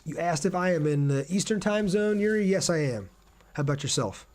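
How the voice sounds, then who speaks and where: A man's voice: on the high side, lively intonation, brisk, a little mellow. A man with an American accent talks casually and conversationally to viewers while livestreaming a video game. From Ohio, United States. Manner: conversational, addresses viewers directly. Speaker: live streamer playing a video game. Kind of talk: casual gameplay livestream chat.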